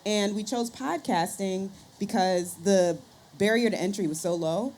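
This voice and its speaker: A woman's voice: medium pitch, measured pace, a little mellow. A woman panel guest telling stories conversationally, acting out bits of dialogue as she goes. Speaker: podcaster and scientist, panel guest. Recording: live event room with background noise.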